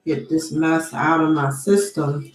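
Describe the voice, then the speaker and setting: A woman with a lowish voice gives a spiritual tarot reading, speaking slowly and deliberately. She sniffles now and then from allergies. Her voice rings in an echoey room, with faint music underneath. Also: fairly even intonation. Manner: slow, soft and deliberate, with an invocation-like cadence. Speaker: tarot card reader.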